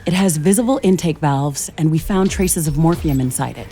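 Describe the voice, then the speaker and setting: An actress playing a curious, determined scientist-commando in a video game, speaking in an earnest, articulate way. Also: female voice, low-pitched, normal speed, mellow, a little hiss or hum. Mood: analytical. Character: curious, determined female scientist-commando exploring an alien world. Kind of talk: scripted video game dialogue.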